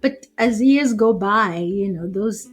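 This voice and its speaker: Podcast guest: Kenyan-accented woman, 40s, mid pitch, husky, chatty storyteller. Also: takes it slowly. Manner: chatty storyteller, laughs often. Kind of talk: casual interview conversation.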